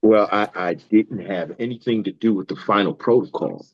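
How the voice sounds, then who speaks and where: A man playing an evasive, defensive doctor with a measured manner in a table read. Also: fairly low pitch, no echo, dull audio.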